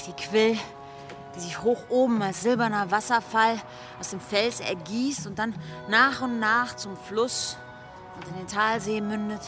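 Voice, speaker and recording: An actress playing a hopeful, spirited medieval wife in a German radio drama, calm and gently firm. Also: female voice, fairly high pitch, lightly acted.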